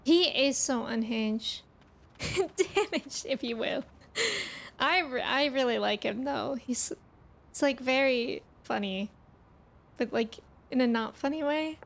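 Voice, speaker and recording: A woman's voice: high voice, takes it slowly, gravelly. A woman game streamer giving chatty, playful, casual reactions on a livestream.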